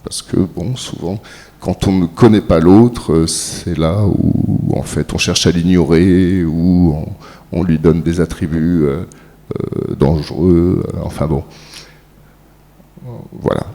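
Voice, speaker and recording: A man's voice: sits low, fairly even intonation, mellow. A man, a filmmaker, answering an audience question at a conference with many hesitations and fillers. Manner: slow and halting, many pauses and fillers. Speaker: filmmaker on a panel. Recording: echoey hall.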